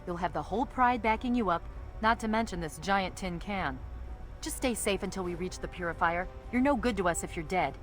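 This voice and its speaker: A woman playing a confident, battle-hardened soldier leading her squad in a video game, brisk and commanding with a wry edge. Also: fairly low pitch, expressive, faint music behind, natural voice. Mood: friendly, wry.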